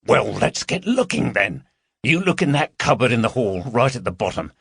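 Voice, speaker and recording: A man voicing a fat, gruff, irritable burglar in an audiobook, bossy and snappish. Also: mid-range voice, big pitch swings, moderate pace, fairly bright tone, no echo, clean studio sound, heavily acted.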